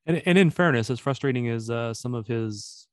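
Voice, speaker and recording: A man's voice: medium pitch, moderate pace, no echo, studio quality. A man with a Missouri accent hosting a sports podcast in a rambling, joking, conversational way. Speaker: podcast host. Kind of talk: casual sports podcast chat.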